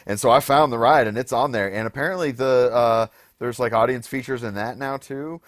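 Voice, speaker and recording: A man with a hoarse voice, co-hosting a podcast in casual, wordy chat, thinking aloud as he goes. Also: mid-range voice, fairly quick, no echo, studio quality. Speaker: podcast co-host. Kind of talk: casual unscripted chat about game shows.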